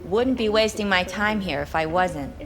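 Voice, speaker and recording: An actress playing a haughty, sardonic gang schemer in a video game, curt and businesslike. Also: female voice, medium pitch, expressive, moderate pace, crowd noise, close to an everyday voice. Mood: scornful. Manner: curt, disdainful. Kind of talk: video game cutscene acting. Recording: music behind the voice.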